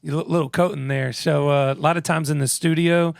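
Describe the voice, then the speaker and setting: A man with a raspy, gravelly voice that sits a little high for a man. He talks in a laid-back, easy way, like a guest on a radio show, and the studio sound is dry and close. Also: steady tone, brisk, dry recording. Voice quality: naturally scratchy voice. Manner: laid-back, laughs easily. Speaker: guest musician. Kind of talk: radio interview chat.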